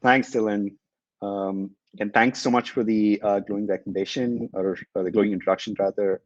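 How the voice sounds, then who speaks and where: A man's voice: lowish voice, steady tone, a little fast, dry recording, dull audio. A man whose first language is Tamil, presenting a business webinar with many ums and uhs as he explains. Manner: many fillers, hesitant, explanatory. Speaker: webinar speaker, company executive.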